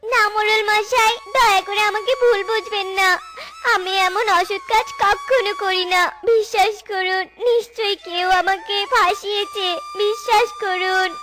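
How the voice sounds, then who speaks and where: A woman voicing a very high, fierce little mother bird. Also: fairly even intonation, a little slow. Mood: pleading. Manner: fierce, pleading. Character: honest hardworking mother tailorbird.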